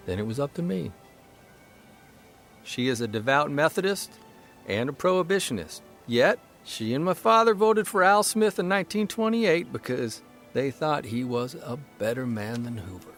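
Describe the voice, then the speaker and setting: A man voicing a young newspaper columnist, telling a story in a measured, fond way. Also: mid-range voice, lively intonation, a bit bright, faint music behind. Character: young male newspaper columnist, earnest, reflective. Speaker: actor reading a column in character. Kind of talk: scripted narration and radio drama acting.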